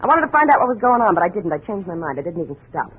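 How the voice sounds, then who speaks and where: Sardonic, hard-bitten woman, lively swooping delivery. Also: on the low side, rapid-fire. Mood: defensive. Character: wary, cynical, jealous blonde woman under suspicion. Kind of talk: 1950s radio drama acting. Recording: muffled, noisy old radio recording.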